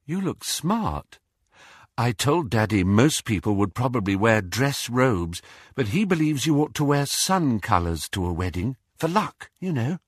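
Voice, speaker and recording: A man voicing a serene, eccentric teenage girl in an audiobook, with an airy, unhurried, bright manner. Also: mid-range voice, sing-song, slightly rough, dry recording, clean studio sound. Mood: dreamy.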